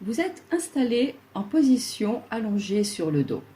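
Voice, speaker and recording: Slow, mellow woman guiding deep relaxation. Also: sing-song. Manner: soothing, measured, with long pauses between phrases. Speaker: yoga nidra instructor. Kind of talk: guided relaxation meditation, scripted instruction.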